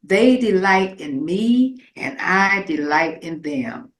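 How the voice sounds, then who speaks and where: Slow, lyrical woman reciting in echoey room. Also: lowish voice, expressive. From rural Virginia, United States. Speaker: community performer reading own piece. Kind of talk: scripted poetic monologue. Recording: roomy, echoing live space.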